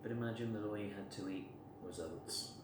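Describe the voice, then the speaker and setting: An actor playing a melancholy colourblind man, delivering an intimate, halting and understated dramatic monologue. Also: on the low side, noisy background, natural voice. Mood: wry, reflective. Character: melancholy colourblind man losing his regained colour vision.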